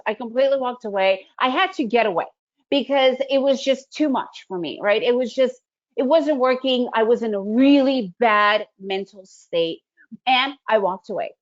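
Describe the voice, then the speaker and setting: A middle-aged woman whose first language is Russian leads a trading webinar in a fairly high, conversational voice, often checking in with "right?". She comes through a video call with slightly dull, lo-fi sound. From Soviet Union, raised in the United States. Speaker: day trading educator. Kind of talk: webinar presentation.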